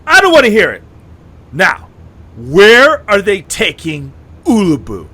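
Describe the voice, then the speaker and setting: A man's voice: high-pitched, huge pitch swings, slow, slightly rough, full character voice. A man playing a fiery young leader. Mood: scornful. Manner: forceful, commanding and angry. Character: hot-headed young male group leader. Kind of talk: fan audio drama acting.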